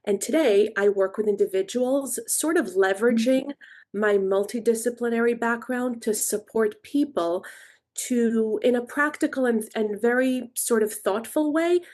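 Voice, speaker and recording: A woman's voice: fairly high pitch, darkish tone. Thoughtful woman coach from Israel. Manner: thoughtful, measured explaining. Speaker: coach and interview guest. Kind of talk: podcast interview.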